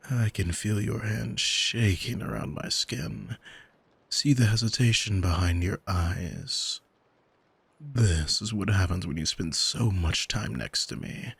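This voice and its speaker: Man playing a husky, slow-talking arrogant demon. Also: lowish voice, heavily acted. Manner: smug, deliberate, soothing, commanding. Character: arrogant male demon, soul-owning manipulator, calm and seductive. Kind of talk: ASMR audio roleplay, hypnotic coaxing.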